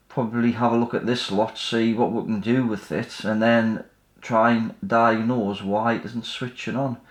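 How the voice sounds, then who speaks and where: Man thinking aloud in an echoey room. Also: lowish voice. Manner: tentative, thinking aloud while working. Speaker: host of an electronics repair channel. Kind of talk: casual hands-on repair commentary. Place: indoors at a repair workbench. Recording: roomy, echoey indoor sound.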